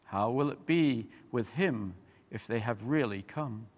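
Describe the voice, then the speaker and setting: Storybook eagle, man reading: hushed, shrewd, reflective thoughts, with long hall reverb. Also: mid-range voice, measured pace, natural voice. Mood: knowing. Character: watchful shrewd eagle musing to himself.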